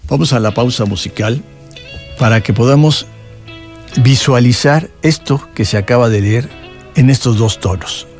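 A man hosting a radio reading, delivering literary prose in a measured way. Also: mid-range voice, darkish tone, faint music behind. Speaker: radio reader and host.

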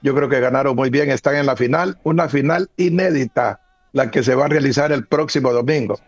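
A man hosting a sports radio show, giving measured, emphatic match analysis. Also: mid-range voice, husky, no room sound, highs cut off.

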